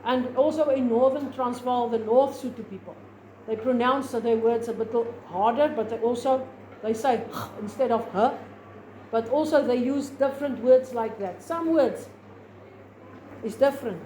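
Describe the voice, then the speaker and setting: High-voiced South African woman lecturing. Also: moderate pace, mellow. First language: Afrikaans. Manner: rambling, with many restarts and fillers. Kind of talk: informal classroom lecture. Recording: echoey room with faint noise.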